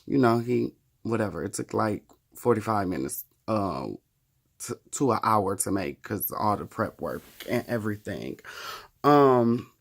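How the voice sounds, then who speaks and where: A man's voice: on the low side, lively intonation, a little slow. A man in his thirties chatting casually to the camera in a wry, profane, chatty way. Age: 30s. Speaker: vlogger.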